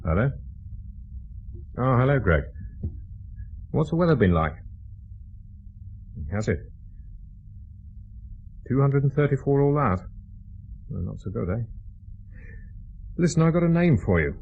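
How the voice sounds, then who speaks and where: A British actor playing a brusque, dogged intelligence officer in a radio drama, clipped, commanding and wearily exasperated. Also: male voice, mid-range voice, very animated, measured pace, creaky, long pauses, husky, phone-quality sound, exaggerated voice. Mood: businesslike.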